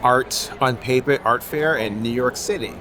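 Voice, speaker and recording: A man's voice: mid-range voice, normal speed, pushed and bright. A man from Haiti, an artist, talking loosely in an interview at an outdoor art fair. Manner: loose and rambling, leaning on filler phrases. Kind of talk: casual interview.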